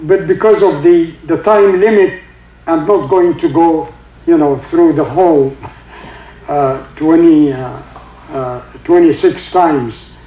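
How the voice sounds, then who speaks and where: An older man with a heavy Egyptian accent, a native Arabic speaker, giving an informal religious talk in halting English with many fillers and recited Arabic verses. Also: fairly high pitch, fairly even intonation, dark tone, reverberant, rough recording. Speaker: religious teacher. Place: indoor room with carpeted seating.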